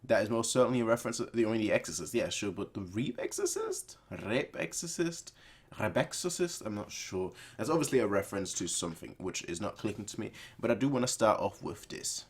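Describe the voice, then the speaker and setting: A man's voice: fairly low pitch, wildly swooping pitch, fast talker, few pauses, slightly rough. A young man hosting a reaction video, chatting casually to viewers in a rambling, playful way. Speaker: host of a reaction video channel.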